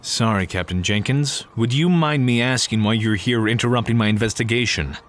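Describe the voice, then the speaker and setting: A man's voice: mid-range voice, brisk, close to an everyday voice. A man playing a sardonic, blunt police detective in an audio crime drama. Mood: sarcastic, irritated. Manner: sarcastic, blunt. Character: sardonic, gruff adult male police detective. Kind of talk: audio drama acting with narration.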